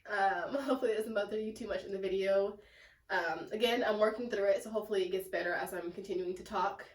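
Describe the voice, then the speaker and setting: A young woman with a sick, congested, raspy and nasal voice, chatting casually in a home plant vlog with lots of fillers. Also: medium pitch, quick pace. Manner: chatty and self-deprecating, lots of "like" and "um". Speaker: plant vlogger. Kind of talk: casual rambling vlog chat. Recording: echoey room, mic at a distance.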